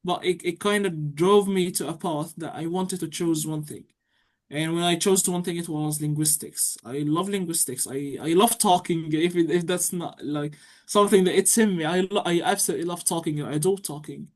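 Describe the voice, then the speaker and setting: A man's voice: fairly high pitch, normal speed. A young man from Egypt, an Arabic speaker, talking eagerly and at length as a student guest on a video call. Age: young adult. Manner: chatty, enthusiastic, repeats words when excited. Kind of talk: casual interview chat.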